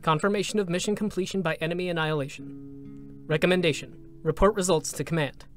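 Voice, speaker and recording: A man voicing an impassive robotic support drone in a dramatic reading, giving clipped, formal, deadpan announcements. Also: high voice, fast, faint music behind, natural voice. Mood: procedural.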